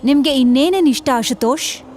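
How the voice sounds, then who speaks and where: A woman's voice: high voice, a little fast, a little mellow, close to an everyday voice. A woman voicing a mysterious young female ghost posing as a train passenger in a horror story, coy and unruffled. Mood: eerily playful. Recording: music behind the voice.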